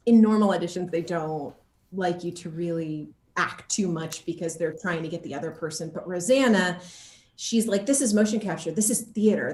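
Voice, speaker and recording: Female interviewee, lowish voice, roomy echo. Casual, expressive actor recounting stories with lively quoted lines. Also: a little hiss or hum. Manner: animated, expressive storytelling, quoting others as she goes. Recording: echoey room.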